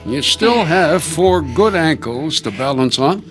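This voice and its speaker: A man's voice: on the high side, animated, takes it slowly, faint music behind. A man acting as a blind, protective farmer, a folksy creature in a suspense radio drama. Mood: tender, relieved. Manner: folksy, rustic, plainspoken. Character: blind, protective male alien farmer, devoted husband.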